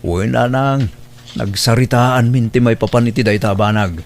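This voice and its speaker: Radio drama male lead man in role: adult son and father, medium pitch, earnest. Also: steady tone, moderate pace, a little hiss or hum, lightly acted. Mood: reassuring. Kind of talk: Ilocano radio drama acting.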